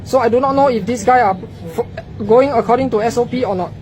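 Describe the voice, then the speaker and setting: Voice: mid-range voice, fast, heavy background noise. A store cashier, arguing insistently and hurriedly.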